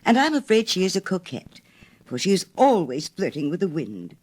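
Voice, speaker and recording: A woman plays a small male swallow from a storybook, proud, romantic and easily put out. He exclaims in a brisk, self-assured way, with pitch that leaps about in animated swoops. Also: husky. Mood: fussy, self-assured. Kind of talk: storybook character reading.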